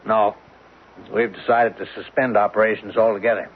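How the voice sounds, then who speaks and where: An actor playing a firm, businesslike oil drilling boss in an old radio drama, matter-of-fact and decisive. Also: male voice, fairly low pitch, flat delivery, moderate pace, slightly rough. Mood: brisk, resigned. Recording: muffled, hissy old radio recording.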